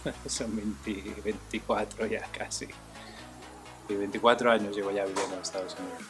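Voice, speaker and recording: A man in his forties, from Spain, talking about his painting in a relaxed, thoughtful way with many fillers. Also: lowish voice, normal speed, over music. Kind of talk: casual documentary interview.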